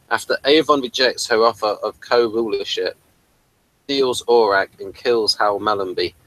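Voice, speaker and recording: Bright-voiced man hosting a casual review. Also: fairly low pitch, rough recording. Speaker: host of a review show.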